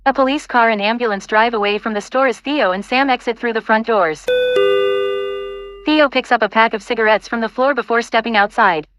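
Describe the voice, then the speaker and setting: High-voiced woman narrating an audio play. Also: a little fast, a bit bright. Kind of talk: scripted stage-direction narration.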